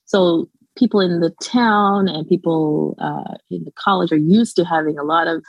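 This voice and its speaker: Guest academic, female, Canadian with a slight Jamaican accent; low and gravelly, rambles with fillers and quick laughs. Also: no room sound, muffled recording. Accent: Canadian, light Jamaican. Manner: rambling, many restarts and fillers, frequent laughs. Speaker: guest scholar. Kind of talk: casual interview conversation.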